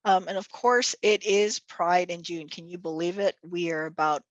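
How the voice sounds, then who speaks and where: A woman's voice: fairly low pitch, fairly even intonation, normal speed, dry recording, lo-fi sound. Upbeat woman hosting a video call. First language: Tagalog. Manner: upbeat, chatty, many fillers. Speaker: executive director and event host. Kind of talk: virtual event welcome and announcements. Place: home or office on a video call.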